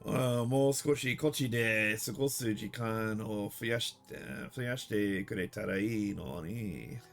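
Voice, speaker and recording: A man reading the lines of a weary female shopkeeper, the wife of a wandering mapmaker, haltingly and line by line. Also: lowish voice, creaky, fairly bright tone, faint music behind, heavily acted. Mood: wistful. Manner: reads haltingly, slowly, line by line.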